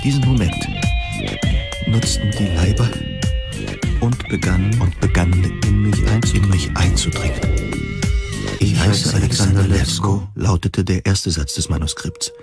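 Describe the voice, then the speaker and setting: A man's voice: deep voice, moderate pace, pauses often. A man reading a scripted first-person narration of a dystopian science fiction story. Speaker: audio drama narrator. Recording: music playing behind the voice. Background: plucked guitar music.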